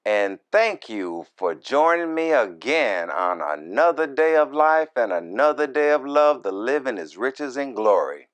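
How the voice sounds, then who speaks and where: A man who is a minister reads scripture verse by verse and explains it in deliberate, broken short phrases with folksy, informal asides. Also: mid-range voice, clear, resonant tone. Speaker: minister, Bible teacher.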